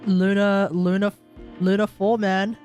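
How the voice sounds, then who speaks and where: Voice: mid-range voice, level tone, unhurried, a little mellow, faint music behind. Chatty Australian streamer, rambling aloud. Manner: rambling, self-correcting, chatty. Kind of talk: casual live-stream commentary.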